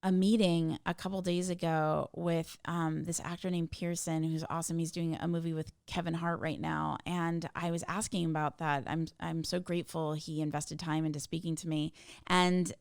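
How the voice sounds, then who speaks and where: A woman speaks with a low, gravelly voice that still sounds smooth and resonant. Her answers are earnest and emphatic, the talk of a passionate interview guest. The sound is dry and close. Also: level tone, normal speed, darkish tone, dry recording. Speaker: tech community founder, podcast guest. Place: hotel suite.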